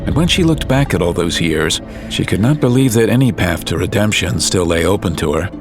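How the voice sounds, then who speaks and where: A man narrating a story in a calm, measured, scripted way. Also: low voice. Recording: music under narration.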